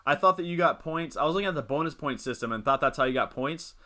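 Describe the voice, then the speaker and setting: Bright-voiced young man chatting on stream. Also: on the high side, animated, fast talker. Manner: rambling, reads chat and answers it. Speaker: livestream host. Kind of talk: casual live stream chat.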